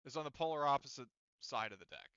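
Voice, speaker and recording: Clear, bright-voiced man running a game. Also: mid-range voice, lively intonation, dry recording, muffled recording. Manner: halting, checking details back with players. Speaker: game master. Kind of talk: casual tabletop roleplaying session talk.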